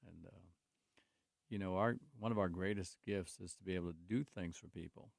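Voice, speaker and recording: Gravelly older man, low voice. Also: fairly even intonation, fairly quick, no room sound, studio quality. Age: 60s. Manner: rambling storytelling with many restarts and fillers; speaks openly about faith. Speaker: guest, construction company owner. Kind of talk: casual talk show conversation.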